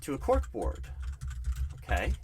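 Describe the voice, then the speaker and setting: A man's voice: medium pitch, huge pitch swings. Hesitant man interviewing over clacking keyboard noise. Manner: hesitant, halting, questioning. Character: nervous, polite male recruiting manager interviewing an applicant. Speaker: roleplay performer as job interviewer. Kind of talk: one-sided ASMR interview roleplay. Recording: clear background noise, keyboard typing. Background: loud computer keyboard typing and clicks.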